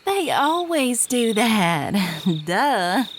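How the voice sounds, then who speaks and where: A woman's voice: fairly high pitch, very animated, extremely slow, a little hiss or hum, exaggerated voice. A woman playing an easygoing, daring space crew scout in an audio drama, with a casual, teasing manner. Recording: clear background ambience of jungle insects.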